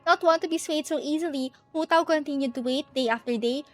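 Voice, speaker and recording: Woman, high voice, livestream host, informal giggly manner, casual chat and story reading, music behind. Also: fairly quick. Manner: giggly, informal, thinks aloud.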